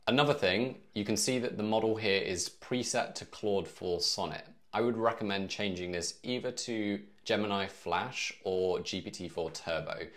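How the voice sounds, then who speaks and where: A man in his thirties with a notably low voice. He walks through software step by step in a casual tutorial style, recorded in a small home office. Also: moderate pace, few pauses. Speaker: tutorial presenter.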